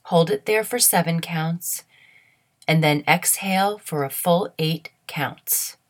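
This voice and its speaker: A woman leading a guided meditation in a soothing, measured, instructional way. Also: fairly low pitch.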